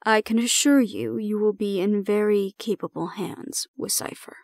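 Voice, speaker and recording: A woman playing a calm, formal supervisor in an audio roleplay, speaking in a measured, diplomatic way. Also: medium pitch, dry recording, clean studio sound, lightly acted. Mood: composed, reassuring. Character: calm, formal female supervisor handing over a hybrid prisoner.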